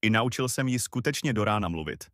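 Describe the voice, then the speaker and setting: A man's voice: fairly low pitch, expressive, a bit bright, no echo, studio quality. A man voicing a clever, self-assured young journeyman in a storybook fairy tale. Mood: storytelling, clever. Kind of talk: storybook voice acting.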